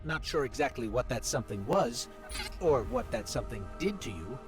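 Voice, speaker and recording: An actor voicing a small robotic companion in a video game, curious, earnest and conversational. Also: male voice, mid-range voice, sing-song, normal speed. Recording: music under the dialogue. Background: game music.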